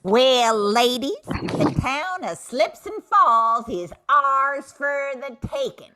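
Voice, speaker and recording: High, swooping, bossy woman as outlaw queen. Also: animated, pushed and bright, exaggerated voice. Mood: commanding. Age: older. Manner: drawn-out, commanding, theatrical. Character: bossy, flirtatious female Wild West gang leader. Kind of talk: amateur old-time radio comedy acting.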